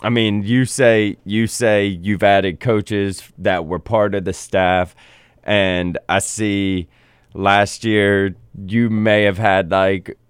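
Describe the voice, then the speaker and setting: A male radio host interviewing a coach, speaking haltingly with many restarts. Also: lowish voice, fairly even intonation, smooth, no room sound, studio quality. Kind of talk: casual interview.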